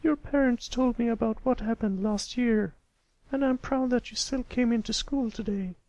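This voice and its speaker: A man voicing a kindly, concerned female pony schoolteacher in falsetto, in a storybook reading. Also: moderate pace, darkish tone, highs cut off. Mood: gentle.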